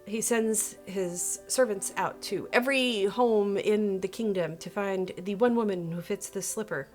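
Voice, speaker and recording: A woman tells a story to her viewers in a casual, comic style, with faint music. Her voice sits at a medium pitch for a woman. She is animated and sarcastic, often breaking off for a playful aside. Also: a little slow. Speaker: video host and storyteller.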